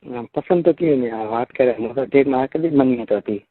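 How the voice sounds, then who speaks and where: A man's voice: mid-range voice, a little fast, a little hiss or hum. A man with a Marwari accent chatting casually in a private phone call, recorded over a narrow, tinny phone line. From Rajasthan, India.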